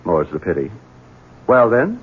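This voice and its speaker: An actor playing an edgy, domineering Southern gentleman in a radio drama, curt with servants and stammering when flustered. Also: on the low side, wildly swooping pitch, unhurried, husky, full character voice. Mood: curt, bitter. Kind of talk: old-time radio drama acting. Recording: tinny vintage radio broadcast, noisy.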